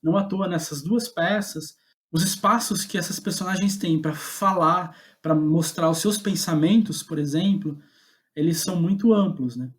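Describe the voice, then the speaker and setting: A man with a Brazilian Portuguese accent, speaking as a guest playwright in a reflective interview, halting as he thinks aloud and often checking for agreement. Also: on the high side. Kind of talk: reflective interview talk about own writing.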